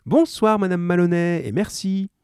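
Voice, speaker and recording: A man's voice: high-pitched, moderate pace, a little mellow, clear, resonant tone, no room sound, studio quality, pitched above the performer's own voice, lightly acted. A man voicing a friendly, helpful male grocer in a read-aloud story. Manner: obliging and chatty.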